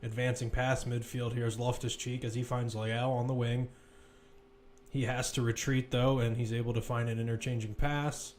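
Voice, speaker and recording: A man giving live play-by-play football commentary as a commentator, measured but lifting when attacks build. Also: mid-range voice, little pitch movement, darkish tone, a little hiss or hum.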